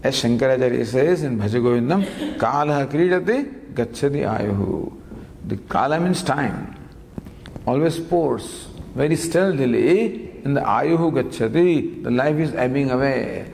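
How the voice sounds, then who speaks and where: A man giving a spiritual discourse on scripture, teaching in a lively, gently humorous way with many rhetorical questions, recorded in a lecture hall. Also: mid-range voice, a little slow, gravelly. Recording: long hall reverb, faint background noise.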